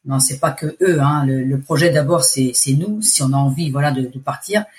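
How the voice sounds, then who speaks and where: A woman in her forties with an accent from France, telling her story in a casual interview, chatty and halting. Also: rumbling low, darkish tone. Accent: French from France. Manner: chatty, hesitant, restarts phrases. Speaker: expat interviewee.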